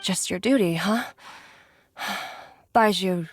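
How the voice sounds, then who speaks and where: A woman's voice: mid-range voice, takes it slowly, faint music behind, close to an everyday voice. A woman playing a sincere, perceptive poison expert in a video game, with an earnest, careful delivery. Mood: probing.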